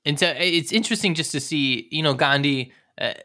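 A man with a very bright, forward voice hosts a history podcast. He talks in an enthusiastic, informal way, commenting conversationally as he goes. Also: fairly high pitch, animated, brisk. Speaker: podcast host.

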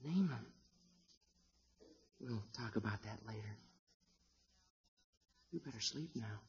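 An actor playing a gentle, shyly proud young husband with a hushed, husky voice, in 1950s radio drama. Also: male voice, on the low side, slow pace, slight background noise. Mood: soothing. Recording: dull old radio recording.

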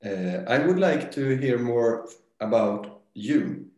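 A man who founded a brand, talking as a guest in a casual interview, halting and restarting his sentences as he thinks. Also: mid-range voice, animated, mellow. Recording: roomy echo.

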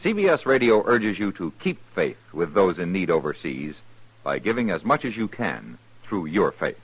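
A man's voice: deep voice, big pitch swings, moderate pace, husky, highs cut off. An American man announcing formally in a declamatory 1950s radio broadcast style.

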